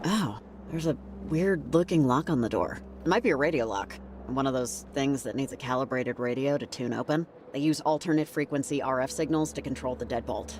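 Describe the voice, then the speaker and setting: Casting: grounded, practical heroine, direct and unhurried delivery, naturalistic game dialogue. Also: female voice, extremely low pitch, darkish tone, a little hiss or hum. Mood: matter-of-fact. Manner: measured, direct, matter-of-fact. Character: level-headed, practical woman named Riley.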